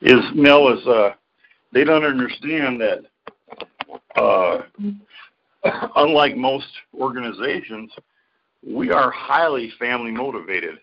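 A man's voice: mid-range voice, expressive, slow pace, vocal fry, long pauses. A man co-hosting a podcast in casual chat, crude and swearing heavily. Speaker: podcast co-host. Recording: dull lo-fi audio.

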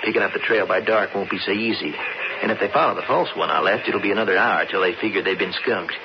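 A man's voice: fairly low pitch, quick pace, lightly acted. An actor playing a tough, practical man of the Old West with an American Western accent and plain-spoken frontier talk. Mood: calculating. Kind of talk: 1970s radio drama acting. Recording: noisy, dull old radio broadcast.

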